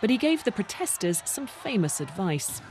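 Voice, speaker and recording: A news reporter narrates a scripted obituary package at a middling pitch. The delivery is that of a prepared voice-over, with faint noise behind it. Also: very animated, normal speed, darkish tone.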